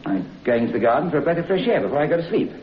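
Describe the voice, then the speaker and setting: A hot-tempered gentleman guest. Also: a little high, big pitch swings, rapid-fire. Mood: indignant. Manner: brusque, indignant. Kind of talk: old-time radio drama acting. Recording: dull echoey old radio recording.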